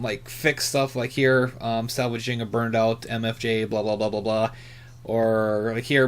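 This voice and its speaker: A man giving casual, unscripted commentary as a hobbyist channel host, rambling and haltingly correcting himself as he goes. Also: mid-range voice, clear, resonant tone.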